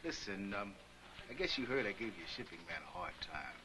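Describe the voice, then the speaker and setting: A man's voice: lowish voice, very animated, brisk. A middle-aged actor playing a conciliatory, cordial businessman on the phone in a 1980s training film. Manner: apologetic, cordial. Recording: faintly noisy 1980s film soundtrack.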